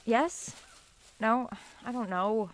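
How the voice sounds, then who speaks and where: A woman's voice: a little high, takes it slowly, a little hiss or hum. A young woman acting in a radio drama as a secretive, frustrated college student with a casual, slangy manner. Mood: tense, frustrated. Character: secretive, frustrated college-age granddaughter on a search.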